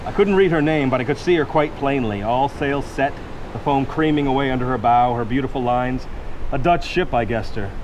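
A man plays a lighthouse keeper telling a horror story in an old radio play. His medium-pitched voice is vivid, dramatic and full of suspense. The recording has clear noise behind it. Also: fairly quick, lightly acted. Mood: brooding suspense. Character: intense lighthouse keeper recounting a horror tale. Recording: noisy vintage radio recording.

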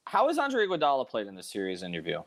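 A man's voice: fairly low pitch, wildly swooping pitch, a bit bright. A man hosting an unscripted sports panel, often repeating words as he works toward his point. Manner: halting, repeats words as he thinks. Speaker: host of a sports panel show.

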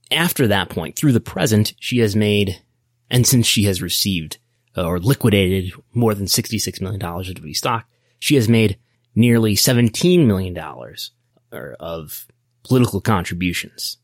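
American guy podcaster, kinda low voice, nerdy wry business talk, thinks out loud, really dry sound. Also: lively intonation, moderate pace, no room sound. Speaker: podcast host. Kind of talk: casual explanatory business commentary.

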